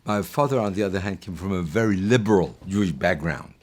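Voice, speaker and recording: A man's voice: low-pitched, expressive, a little fast, darkish tone. A man, describing his family in a composed, orderly way. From Belgium. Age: 80s. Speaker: interviewee.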